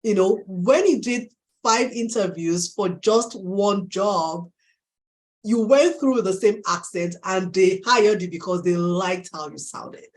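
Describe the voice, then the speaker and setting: A woman with a Nigerian accent hosting a live interview, affirming her guest warmly and laughing often. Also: medium pitch, slow, poor audio. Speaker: host.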